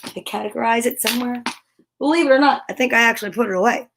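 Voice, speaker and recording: A woman hosting a children's story time, reading picture books aloud with expressive exclamations and chatty, self-interrupting asides to viewers. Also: on the high side, rapid-fire, gravelly, noisy background. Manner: expressive, gushing exclamations and gasps.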